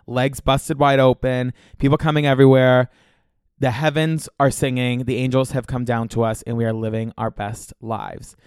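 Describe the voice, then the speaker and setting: A man's voice: medium pitch, little pitch movement, moderate pace, a little mellow, clear, resonant tone, dry recording, clean studio sound. Campy, congested man chatting on a podcast. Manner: chatty, profane, campy and gossipy. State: slightly congested, scratchy throat. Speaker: podcast host. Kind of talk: casual solo podcast monologue.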